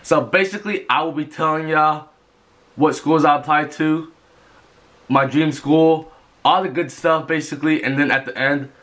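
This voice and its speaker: A man's voice: on the high side, normal speed, a bit bright. A young man from Georgia, talking casually to camera in his bedroom with a stuffy nose from a cold, rambling through many restarts. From Georgia, USA. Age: young adult. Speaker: vlogger. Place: bedroom, indoors. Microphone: camera in front of him.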